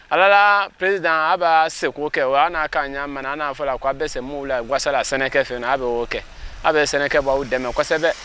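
A young man from Guinea answering a street interview outdoors. He talks in an animated way and thinks aloud with repeated words. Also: fairly high pitch, clean tone. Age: young adult. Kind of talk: vox pop answer. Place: outdoors by a dirt road.

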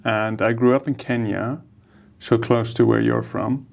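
A man in his forties, a plastic surgeon, telling his life story in a relaxed interview, speaking easygoingly with many hesitations into a table microphone. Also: medium pitch, a little slow, creaky. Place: ornate room, seated interview. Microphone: studio microphone on table.

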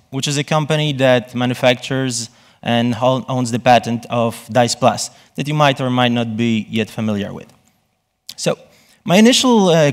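A man gives a conference presentation from a stage, often pausing and rephrasing himself. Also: mid-range voice. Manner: halting, self-correcting delivery. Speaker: conference speaker.

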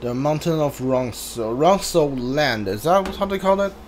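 A man's voice: on the high side, lively intonation, unhurried, a little hiss or hum. A man giving casual let's play commentary, muttering reactions and reading item names aloud as he plays. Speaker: video game player streaming a playthrough.

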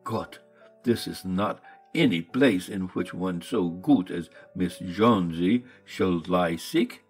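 A fierce, scoffing old painter past sixty, as a man acts him out. His blustery, broken English swoops up and down widely. Soft music hums faintly underneath. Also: sits low, lively intonation, slow, slightly rough, full character voice. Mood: gruff. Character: fierce little old male painter, gruff.

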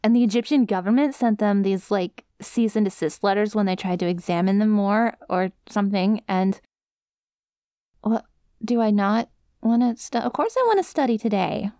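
A woman's voice: mid-range voice, normal speed, warm tone, no room sound, clean studio sound, natural voice. A woman voicing a friendly, scatterbrained dog-girl student in an ASMR roleplay, with a casual, rambling, easily sidetracked manner. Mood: sheepish, rambling. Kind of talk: ASMR roleplay, one-sided conversation with listener.

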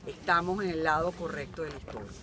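A woman's voice: low voice, fast, very noisy. An older woman, speaking as a mother, gives a heartfelt outdoor speech to a crowd of supporters. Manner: heartfelt, addressing parents in the crowd. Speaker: mother of a jailed politician speaking at a rally.

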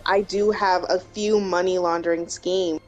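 A woman's voice: fairly low pitch, steady tone, a little slow, dull audio, over loud music. A woman playing a shooter game with friends, chatting in a laid-back, casual way. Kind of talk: casual gameplay chat with friends.

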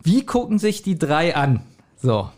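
A man with a voice a little higher than most hosts a podcast. He talks in a casual, conversational way, retelling scenes and commenting on them with a joking, chuckling manner. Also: sing-song, measured pace, darkish tone. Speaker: podcast host. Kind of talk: casual chat, retelling and reviewing an audio drama.

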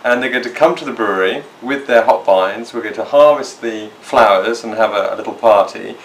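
A man's voice: on the low side, a little fast, slight background noise, rough recording. Man telling proud brewery stories. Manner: proud, storytelling. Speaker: brewery co-founder. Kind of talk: casual chat on a tour. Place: small indoor brewery.

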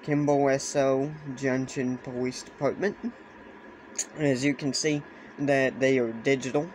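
A man giving a casual explainer demonstration in a halting way, often repeating phrases, recorded on a handheld camera indoors. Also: medium pitch, rough recording. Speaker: hobbyist presenter. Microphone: handheld camera or phone. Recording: clear steady background noise, handheld camera.

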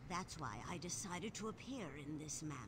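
A woman's voice: on the low side, expressive, a little fast, lightly acted. Woman playing an imperious zealot orc priestess over noise. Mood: cold disdain. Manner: solemn, commanding, condemning. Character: imperious older orc priestess mother, cold zealous villain. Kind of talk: fantasy game villain acting.

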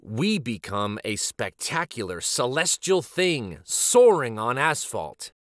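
Animated man reading a picture book. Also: mid-range voice, no room sound, clean studio sound. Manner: animated, deliberate storytelling delivery. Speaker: children's read-aloud host and storyteller. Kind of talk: expressive picture book narration.

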